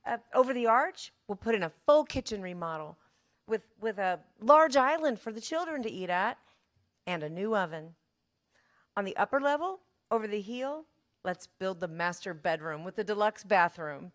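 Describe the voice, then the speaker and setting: A woman's voice: mid-range voice, close to an everyday voice. A woman playing an upbeat, take-charge home renovation designer in a scripted fairy-tale parody.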